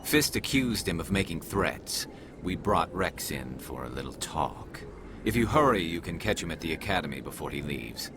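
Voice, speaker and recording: A determined alien investigator from a video game, speaks in a low register. He is earnest and intent about his duty. A clear vehicle-like hum runs behind the voice. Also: very animated, normal speed. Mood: intent, resolute.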